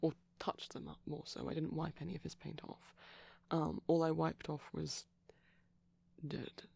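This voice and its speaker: A man talks in a hushed ASMR murmur that often drops to a whisper. His voice is breathy and husky with plenty of creak. He sounds gentle and shy, rambling hesitantly close to the mic. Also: fairly high pitch, little pitch movement, unhurried. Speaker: ASMR performer. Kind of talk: soft-spoken ASMR roleplay ramble.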